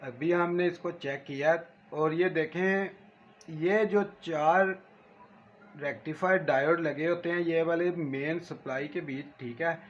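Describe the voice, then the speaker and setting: A man giving an informal hands-on repair tutorial, speaking straight to viewers and repeating his points. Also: fairly high pitch. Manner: addresses viewers directly, repeats points and checks for understanding. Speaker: electronics repair tutorial host. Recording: echoey room, background noise.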